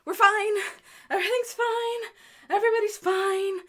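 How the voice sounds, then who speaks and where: A woman's voice: very high voice, fairly even intonation, normal speed, a bit bright. A woman playing a frantic but upbeat young adventurer in an audio drama, flustered and reassuring. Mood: rattled.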